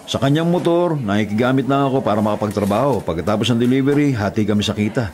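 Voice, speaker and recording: An actor playing a weary, devoted young Filipino delivery rider and elder brother in a radio drama, with an earnest, sighing delivery. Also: male voice, medium pitch, fast talker, a little mellow, natural voice. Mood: resigned, earnest. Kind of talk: Tagalog radio drama acting. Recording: music bed behind the voice.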